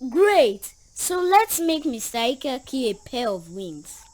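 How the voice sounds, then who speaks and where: Woman voicing a high, slow-spoken cartoon little girl. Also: very animated, a little mellow, slight background noise, full character voice. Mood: encouraging. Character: adventurous, cheerful seven-year-old girl. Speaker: child guide addressing young viewers. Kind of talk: educational children's cartoon voice acting.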